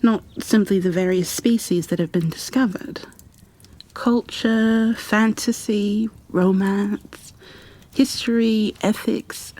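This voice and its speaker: A woman playing a gentle, shy, bookish bookkeeper in an ASMR roleplay, soft-spoken and half-whispering, with a hesitant, apologetic way of talking. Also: mid-range voice, steady tone. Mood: shy, rambling. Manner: hesitant, apologetic, halting, careful. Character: gentle, shy, bookish female creature bookkeeper, peace-loving and kindly.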